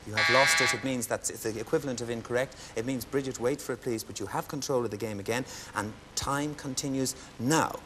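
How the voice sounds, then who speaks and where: A man hosting a television quiz show, announcing clues and scores in a crisp, formal way and lifting into cheers for winners. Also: mid-range voice, brisk, hardly any pauses, pushed and bright, rough recording. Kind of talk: brisk game-show hosting. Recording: studio audience and background noise.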